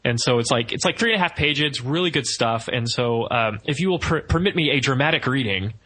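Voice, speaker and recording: Bright-voiced man hosting a podcast. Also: medium pitch, animated, a little fast. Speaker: podcast host. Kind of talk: podcast discussion and book reading aloud.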